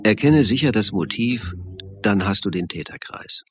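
A man's voice: fairly low pitch, expressive, moderate pace, over music, lightly acted. An actor playing a wry, reflective police investigator who narrates his case in a dry, sardonic way for a crime radio play.

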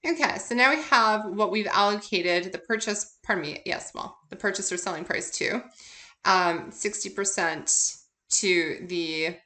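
Bright, forceful woman teaching a lesson. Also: mid-range voice. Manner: explanatory, conversational, poses questions and answers them. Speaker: accounting instructor. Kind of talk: informal recorded lecture, working through examples.

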